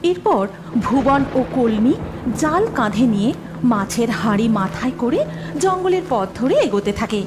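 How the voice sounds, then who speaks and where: Higher-pitched woman narrating a story. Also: lively intonation, normal speed. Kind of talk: scripted dramatic story narration. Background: music.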